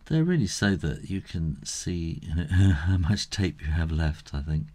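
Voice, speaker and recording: Middle aged guy doing a relaxed how-to-draw tutorial, deep voice, really slow, thinks out loud. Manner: unhurried, thinking aloud. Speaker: drawing tutor. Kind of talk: casual step-by-step drawing tutorial.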